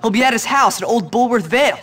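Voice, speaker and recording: A nagging little teenage schoolboy in a video game. He sounds high, whiny and needling, over faint noise. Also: quick pace, fairly bright tone. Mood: urging. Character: small, nagging, put-upon teenage schoolboy sidekick. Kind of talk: video game cutscene acting.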